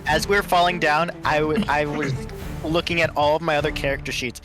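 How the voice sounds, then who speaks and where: A man's voice: a little high, fairly even intonation, brisk, over music. A man playing a tabletop game, joking about his own character. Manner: self-deprecating, joking. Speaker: player. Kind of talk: casual tabletop play.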